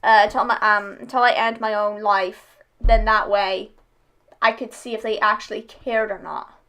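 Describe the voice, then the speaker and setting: A woman's voice: medium pitch, fairly even intonation, normal speed, sharp tone, low-quality recording. A woman hosting a reaction video, giving casual personal commentary in a hesitant, rambling way with repeated words.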